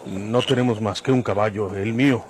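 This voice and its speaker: An actor playing a bold Mexican bandit of the sierra in an old radio serial. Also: male voice, lowish voice, fairly even intonation, moderate pace, slight background noise, lightly acted. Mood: urgent.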